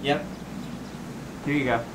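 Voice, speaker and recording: A man's voice: mid-range voice, steady tone, takes it slowly, lightly acted. Man acting patient dad on a noisy recording. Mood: reassuring. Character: easygoing, reassuring father, a novelist. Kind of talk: amateur radio play acting. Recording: noisy room, hum behind voice.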